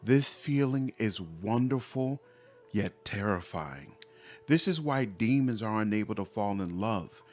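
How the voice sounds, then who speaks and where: A man voicing a reformed female demon from a fantasy game, earnest, gentle and measured. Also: on the low side, lively intonation, faint music behind. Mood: tender.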